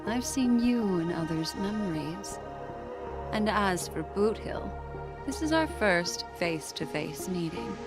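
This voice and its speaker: An actress playing a poised, mysterious memory keeper in a video game, formal and coolly polite. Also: female voice, mid-range voice, expressive, slow. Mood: poised, courteous. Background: game music.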